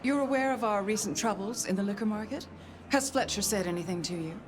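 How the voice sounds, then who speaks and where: An actress playing a haughty, condescending vampire crime boss in dramatic, deliberate video game dialogue. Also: female voice, medium pitch, a crowd in the background, natural voice. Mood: imperious.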